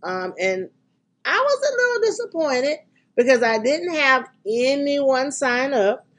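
A middle-aged woman hosting a podcast, talking in a chatty, excitable way with frequent ums, her voice congested from a cold. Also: sits high, takes it slowly. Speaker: podcast and radio host. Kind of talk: casual podcast chat.

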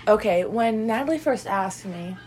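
A woman's voice: mid-range voice, animated, normal speed, darkish tone, soft background music. A young woman co-hosting a casual chat, sounding stuffy and congested and rambling with giggles and fillers. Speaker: podcast co-host.

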